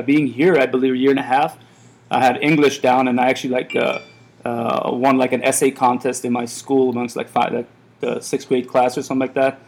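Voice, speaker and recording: A man's voice: mid-range voice, darkish tone, low-quality recording. Laid back guy in his 30s rambling thru an interview, lots of uh and um, restarting sentences. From Iran. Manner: rambling, many fillers and restarts. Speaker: café owner. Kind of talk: casual interview.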